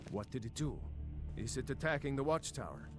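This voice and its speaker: A male actor playing an authoritative, paternal lord in a fantasy video game, speaking in a measured way. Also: medium pitch, very animated, close to an everyday voice. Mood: commanding, concerned. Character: commanding, paternal lord of a hall. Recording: loud game music behind.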